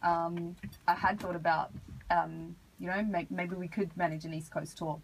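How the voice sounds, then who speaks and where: An Australian woman with a husky voice, talking casually as an artist being interviewed on radio. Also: fairly low pitch, flat delivery, normal speed, slight background noise, poor audio. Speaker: interview guest, hip hop artist. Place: radio studio.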